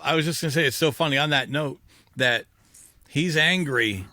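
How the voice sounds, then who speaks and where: A man's voice: fairly high pitch, moderate pace, bright, forceful tone, dry recording. A man in a casual podcast chat about films, jokey and given to acting out lines. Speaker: podcast panelist. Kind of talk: casual film discussion.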